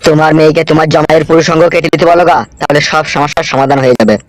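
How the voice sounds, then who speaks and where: A man's voice: a little high, steady tone, rapid-fire, no echo, close to an everyday voice. A man voicing a foul-mouthed, hot-tempered village politician with a coarse, bullying manner in a cartoon comedy. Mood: dismissive.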